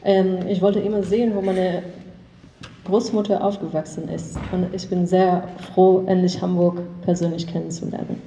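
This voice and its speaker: A young American woman, a native English speaker, giving a careful, halting memorial speech in a learned language and often correcting herself. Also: on the low side, mellow, slight background noise. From United States. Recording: echoey hall from far off.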